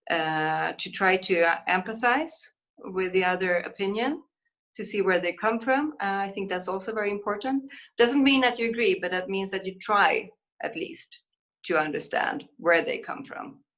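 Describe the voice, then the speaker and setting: A woman with a Swedish accent speaks at a deliberately slow pace, her voice sitting at a middle pitch. She answers as a diplomat on an online panel, pausing often and filling gaps with hesitations. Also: a bit bright. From Sweden. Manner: slow and halting, many fillers, switches to English. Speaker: ambassador, panel guest.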